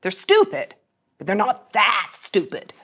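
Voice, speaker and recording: A woman's voice: mid-range voice, huge pitch swings, measured pace, vocal fry, a bit bright, husky, heavily acted. A woman playing a brash starship officer with a blunt, sarcastic manner, in a sci-fi table read. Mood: sardonic.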